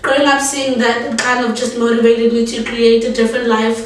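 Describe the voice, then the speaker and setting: A young South African woman talks about her life in an easy, rambling way, full of fillers. Her voice sits a little high but barely moves. She is heard from a distance, in a very echoey room. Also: flat delivery, brisk. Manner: loose, filler-heavy storytelling with many likes and ums. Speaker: rapper and guest. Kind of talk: casual conversation. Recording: roomy space, distant mic.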